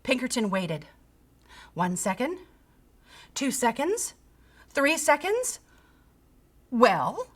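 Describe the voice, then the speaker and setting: A woman reading a children's picture book aloud, with theatrical, deliberate storytelling and dramatic emphasis. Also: high-pitched, sing-song. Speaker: storybook reader.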